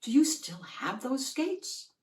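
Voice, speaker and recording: Woman as earnest little boy, raised pitch. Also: sing-song, takes it slowly, heavily acted. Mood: wondering. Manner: earnest, insistent. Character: earnest, determined little boy longing to dance.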